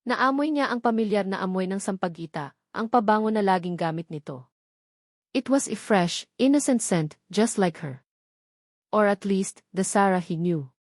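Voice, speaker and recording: Female audiobook narrator for a love story; mid-pitched, emotive, scripted delivery, very dry close recording. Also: lively intonation, normal speed, darkish tone, no echo.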